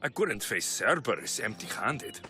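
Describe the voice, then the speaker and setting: An actor playing a young Spartan mercenary in a video game, earnest, wry and battle-hardened. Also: male voice, mid-range voice, sing-song, measured pace, music in the background. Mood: wistful, pained. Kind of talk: dramatic video game cutscene acting.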